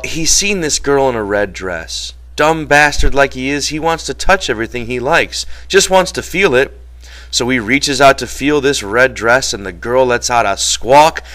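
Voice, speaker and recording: A man voicing a protective, exasperated ranch hand in a storybook reading, telling things forcefully in folksy, ungrammatical speech. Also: mid-range voice, pitched above the performer's own voice, close to an everyday voice.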